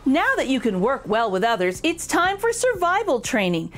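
Woman, high-pitched voice, very lively intonation, children's storytime reader, encouraging, emphatic picture book read-aloud. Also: moderate pace, faint music behind. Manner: encouraging, emphatic, addresses young listeners directly.

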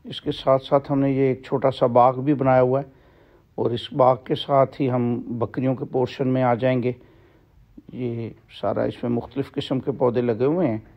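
A man walks through his farm and explains each shed in a steady, level voice that barely rises or falls. He pauses often and fills gaps with short hesitations. The handheld phone audio sounds slightly dull. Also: medium pitch. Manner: plain, explanatory, with frequent pauses and fillers. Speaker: farm owner giving a tour. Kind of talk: walking farm tour narration. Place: outdoors on a livestock farm. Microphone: phone camera held while walking.

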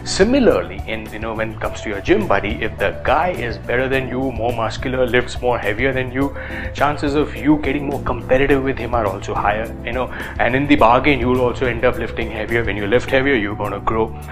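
A man in his thirties with an Indian accent, talking casually to camera about fitness with lots of fillers and lively gestures. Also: medium pitch, fairly quick, over music. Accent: Indian English. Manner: animated, gestures with both hands, many fillers. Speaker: fitness influencer.

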